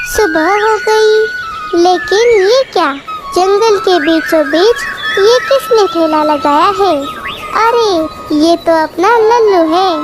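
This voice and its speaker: A storyteller reading scripted narration for a children's cartoon tale. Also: extremely high pitch. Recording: loud music behind the voice.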